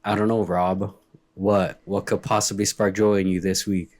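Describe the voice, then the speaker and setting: Deep-voiced, hoarse laid-back co-host. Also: male voice, little pitch movement, darkish tone. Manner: laid-back, joking, quick quips. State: hoarse, recovering from illness. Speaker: podcast co-host. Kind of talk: casual sports podcast chat. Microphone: remote call recording.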